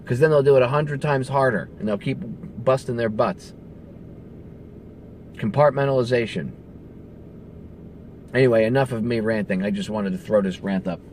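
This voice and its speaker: A man's voice: medium pitch, moderate pace, pauses often, a little mellow, slight background noise. A man speaking as a radio show host, delivering a casual, emphatic, conversational rant with some swearing. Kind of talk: casual political rant to camera.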